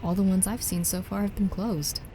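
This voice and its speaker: A woman's voice: mid-range voice, fairly even intonation, normal speed, mellow, faint music behind, natural voice. A woman playing an exasperated, sardonic young woman in a naturalistic radio drama. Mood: dry, skeptical. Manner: sarcastic, questioning.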